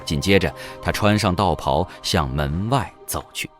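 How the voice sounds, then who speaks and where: A man's voice: sits low, measured pace, fairly bright tone, soft background music. A man reading scripted, dramatic narration for a fantasy audio drama as its narrator.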